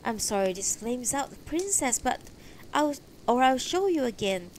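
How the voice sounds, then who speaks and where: A woman voicing a gentle, protective elderly husband in a fantasy story, earnest and soothing. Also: high-pitched, very animated, a little slow, a little hiss or hum, pitched above the performer's own voice, poor audio. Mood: concerned.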